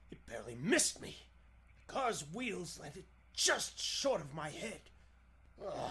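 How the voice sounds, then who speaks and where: A man's voice: high-pitched, gravelly, pushed and bright, exaggerated voice. A man playing an earnest, troubled superhero thinking aloud in the melodramatic style of 1940s radio drama. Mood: strained relief. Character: heroic male superhero, righteous, troubled and straining.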